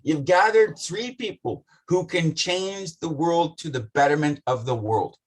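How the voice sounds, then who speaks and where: Husky-voiced man, blunt and swearing. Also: fairly high pitch, a little slow. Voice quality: rough voice. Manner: passionate, blunt, swears often. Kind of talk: casual business discussion.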